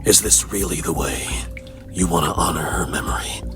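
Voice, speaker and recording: A man playing a stern, determined masked vigilante detective in a comic dub, speaking in a grim, measured, pointed way. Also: low voice, lightly acted. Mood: stern, challenging. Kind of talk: dramatic comic dub acting. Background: music.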